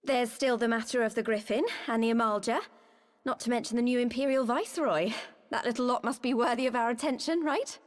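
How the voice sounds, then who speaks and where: An actress playing a plain-spoken, eager young fighter in a fantasy game, with an informal delivery. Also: female voice, on the high side, fairly quick, close to an everyday voice. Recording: long hall reverb.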